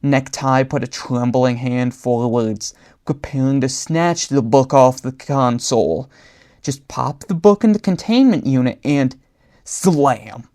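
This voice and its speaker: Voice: medium pitch, takes it slowly, darkish tone. Dramatic storyteller reading a comic horror tale. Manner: dramatic, acts out character voices. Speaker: storyteller and writer.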